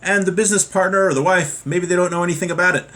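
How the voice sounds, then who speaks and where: A man's voice: high-pitched, fairly even intonation, very fast, bright, forceful tone. A man giving an informal lesson as a religious teacher, reading from a text and then explaining it with everyday examples.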